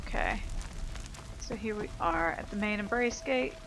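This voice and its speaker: Woman gamer commentating over loud music. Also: mid-range voice, unhurried, low-quality recording. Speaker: gameplay streamer. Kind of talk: casual game commentary. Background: loud game music.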